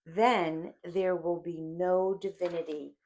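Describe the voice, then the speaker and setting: A woman's voice: lowish voice, steady tone, takes it slowly, a little mellow. A woman voicing a scoffing male devil with grand, theatrical mockery in a dramatic audiobook reading. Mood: gloating menace.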